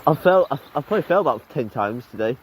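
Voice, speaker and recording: A man's voice: medium pitch, animated, fast talker, background noise. An eighteen-year-old man chatting casually outdoors in the snow, swearing and laughing as he rambles. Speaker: vlogger. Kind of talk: casual chat while out in the snow. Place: outdoors on a snowy road.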